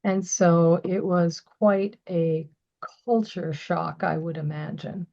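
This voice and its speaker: A woman with a Canadian accent, whose first language is Bengali, interviews a guest at an unhurried pace. She backs her guest up with steady mm-hmms. Her audio sounds slightly dull and lo-fi. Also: lowish voice, creaky. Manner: encouraging, frequent mm-hmms. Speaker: podcast host. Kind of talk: conversational interviewing.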